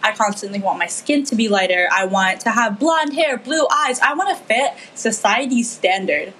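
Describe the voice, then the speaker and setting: A woman's voice: a little high, lively intonation, moderate pace, a bit bright, slightly rough. A teenage girl from the Philippines talking earnestly and with feeling in a casual discussion, with frequent fillers. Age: teens. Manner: earnest, impassioned, many fillers.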